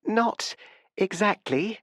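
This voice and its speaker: A man reading an audiobook, voicing a nervous, agitated young woman who speaks in a high, hurried way. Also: expressive, a bit bright, no room sound, clean studio sound, heavily acted.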